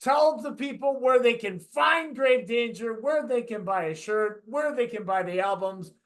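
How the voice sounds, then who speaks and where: A man interviewing a band singer, asking eager, halting questions with many restarts. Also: extremely high pitch, lively intonation, a bit bright. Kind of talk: casual enthusiastic interview.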